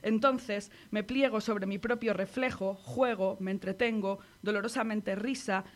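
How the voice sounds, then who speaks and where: A woman from Spain with a Spanish accent, reading her own poem aloud on the radio in a measured, intimate way. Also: mid-range voice, steady tone. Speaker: poet reading her work. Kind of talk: poetry reading.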